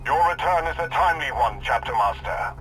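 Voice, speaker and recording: An actor playing an earnest military officer in a video game, giving formal, clipped briefings. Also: male voice, a little high, animated, brisk, over music, lightly acted, rough recording. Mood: dutiful.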